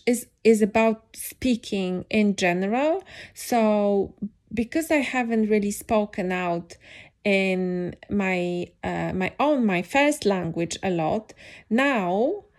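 A woman's voice: medium pitch, animated, takes it slowly, a little mellow. A young woman hosting a podcast, speaking English as a second language in a reflective personal monologue, thoughtful and hesitant with many restarts. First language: not English. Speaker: podcast host.

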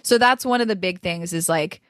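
A woman's voice: lowish voice, normal speed, a bit bright, dry recording, studio quality. A woman voice coach speaking as a guest in an interview, talking in a thoughtful, flowing way with frequent "like" and "you know" fillers. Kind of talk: reflective interview talk.

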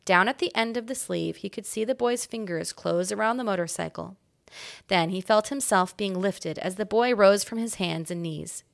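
Woman with a gravelly storytelling voice. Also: medium pitch, moderate pace, dry recording, clean studio sound. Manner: expressive storybook narration. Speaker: guest reader. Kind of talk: reading a children's chapter book aloud.

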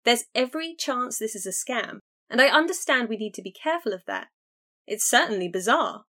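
A woman's voice: a little high, lively intonation, fairly quick. A woman reading an audiobook, voicing an eager young woman who urgently presses her sister to take a chance. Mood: eager pleading. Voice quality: bright, urgent and persuasive. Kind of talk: audiobook character voice.

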